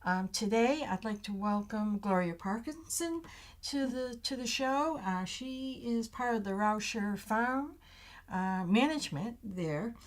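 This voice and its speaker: Woman host, local TV interview, hesitant with filler words, higher voice. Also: lively intonation, a little slow. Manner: hesitant, many ums and uhs. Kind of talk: community TV interview.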